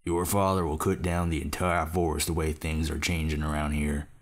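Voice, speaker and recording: A man acting a grave backwoods father of about fifty in an audio drama. The voice sits extremely low and hardly moves in pitch. He is terse and plain-spoken, with quiet intensity. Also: level tone, moderate pace. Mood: gruff.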